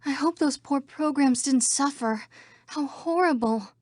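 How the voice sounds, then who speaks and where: A woman's voice: high voice, measured pace, dry recording, studio quality. An actress voicing female computer program citizens in a scripted video game. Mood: fearful, despairing. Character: female computer program citizens, by turns frightened, defiant or official.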